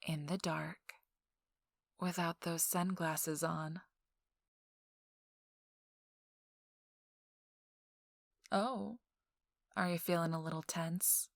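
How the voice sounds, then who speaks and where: Woman acting a slow, sly, feisty socialite. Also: on the low side, fairly even intonation, creaky, no room sound. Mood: teasing, smug. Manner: slow, teasing, dry sarcasm, drifting into whispers. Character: feisty young rich socialite, sly, teasing, self-assured. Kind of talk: intimate ASMR audio roleplay monologue.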